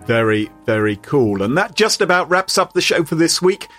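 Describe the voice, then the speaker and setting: A man's voice: a little high, big pitch swings, moderate pace, a bit bright, soft background music. A man hosting a podcast, wrapping up the show with jokey, playful banter. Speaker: podcast host.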